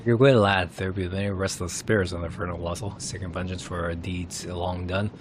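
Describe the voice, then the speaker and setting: A man's voice: deep voice, little pitch movement, fairly quick, slight background noise, close to an everyday voice. A man voicing a crude, superstitious pirate sailor in a fantasy game, grumbling in folksy, ungrammatical sea talk. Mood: reluctant, wary. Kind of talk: narrated fantasy game dialogue.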